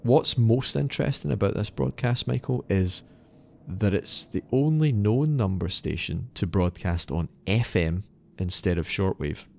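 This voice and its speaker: A man's voice: on the low side, measured pace, creaky, a little mellow. A man hosting a podcast, narrating in an explanatory, slightly wry way that moves between scripted and casual. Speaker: podcast host.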